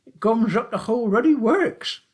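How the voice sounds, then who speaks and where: A man voicing a cheerful old taxi driver who chatters in a high, excited country manner. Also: normal speed. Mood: gleeful.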